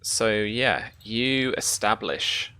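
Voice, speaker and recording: A game master improvising narration and rulings for a tabletop roleplaying game, with a halting delivery. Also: mid-range voice, expressive, bright, forceful tone, clean tone.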